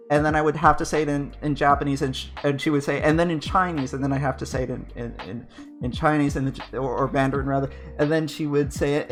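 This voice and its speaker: A man chatting casually on a podcast, telling a rambling story and laughing mid-sentence. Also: on the high side. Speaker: VTuber podcast co-host. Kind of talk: casual chat.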